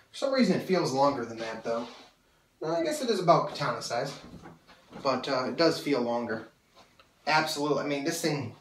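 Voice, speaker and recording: A man's voice: on the high side, fairly bright tone, slight background noise. Young man, slightly nasal from a cold, rambling and enthusiastic, camera a few feet off, roomy echo. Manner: enthusiastic, rambling, many fillers and restarts. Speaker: sword collector reviewing blades. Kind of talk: casual video review. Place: small room full of swords, indoors. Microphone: camera mic from several feet away. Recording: roomy echo, mic at a distance.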